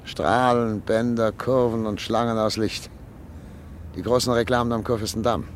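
An actor playing a 39-year-old professor and chief physician in a period radio drama, speaking in a wistful, reflective, quietly anxious way. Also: male voice, lowish voice, fairly even intonation, moderate pace, a little hiss or hum, lightly acted. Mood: wistful reflection. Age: 30s. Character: 39-year-old doctor, proud yet uneasy.

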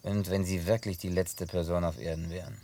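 A man acting in a German radio play as a gruff, hot-headed but harmless drifter who tells things in a colloquial, grumbling way. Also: sits low, fairly even intonation, fairly quick, mellow. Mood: annoyed, resigned.

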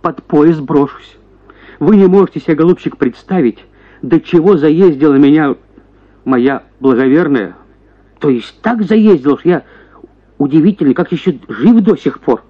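An actor voicing a henpecked prosecutor, a self-pitying husband who grumbles and exclaims theatrically in a radio story. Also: male voice, on the high side, moderate pace, muffled recording, close to an everyday voice. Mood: exasperated complaining. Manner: plaintive grumbling that bursts into excited exclamations.

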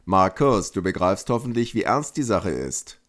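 A man playing a stern, threatening high school principal with an authoritative, theatrical manner in an audio drama. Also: big pitch swings, normal speed. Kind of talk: fan audio drama acting.

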